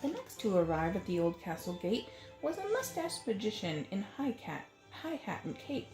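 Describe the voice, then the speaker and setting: A woman's voice: medium pitch, very animated, moderate pace. A woman reading a rhyming picture book aloud to children with dramatic, sing-song phrasing, in a roomy recording. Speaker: children's story reader. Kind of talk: expressive picture-book read-aloud in rhyme. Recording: roomy echo, faint music.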